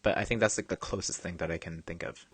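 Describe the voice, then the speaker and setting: Young guy from china, grew up in canada, low voice, stumbles and laughs while chatting. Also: fairly even intonation, fairly bright tone, husky, no room sound. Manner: halting, many restarts, laughs easily. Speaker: podcast co-host. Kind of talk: casual podcast chat.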